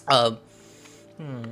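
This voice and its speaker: A young man with a very animated delivery streams a game casually. He thinks out loud and reacts to what he sees, with game music faintly behind him. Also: medium pitch. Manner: musing aloud, reacting to dialogue. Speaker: gaming streamer. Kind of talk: casual game playthrough commentary. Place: indoor room at home, webcam stream.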